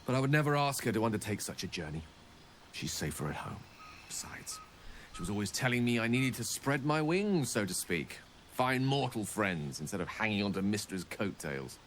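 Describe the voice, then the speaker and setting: An actor playing a wistful, self-deprecating wizard in a video game, with a refined British accent and a theatrical, wordy manner. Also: male voice, lowish voice, sing-song, normal speed, a little hiss or hum. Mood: warm, reflective. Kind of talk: video game dialogue acting.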